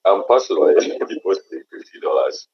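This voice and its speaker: A man with a Ugandan accent, a Luganda speaker and chapter leader, giving halting remarks on an online meeting that switch between English and Luganda. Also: rumbling low, expressive, husky. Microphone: video call. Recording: dull lo-fi call audio.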